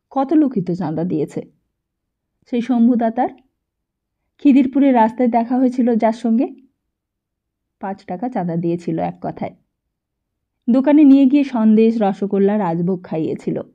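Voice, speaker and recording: A woman narrating a literary audiobook in an expressive, reflective storytelling manner. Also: a little high, highs cut off.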